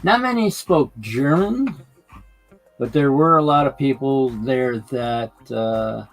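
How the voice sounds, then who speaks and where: Gravelly guy rambling slow on a hobby stream, lots of uhs, faint music behind. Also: mid-range voice, expressive, rough recording. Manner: halting, many fillers and restarts, chats while working. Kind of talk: casual chat while building a model layout.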